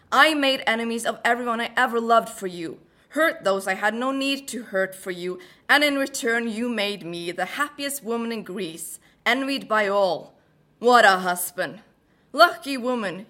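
A woman's voice: fairly high pitch, bright, forceful tone. Vengeful betrayed queen, bitter and sarcastic, Norwegian accent, a woman reciting. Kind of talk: dramatic monologue recital.

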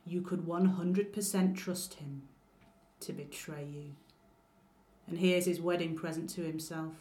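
A woman's voice: on the low side, fairly even intonation, a little slow, dark tone, natural voice. A woman from Lancashire, speaking with a Lancashire accent, voicing a bitter, vengeful wife of myth who was betrayed by her husband, with sarcastic, declaiming lines. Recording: roomy echo.

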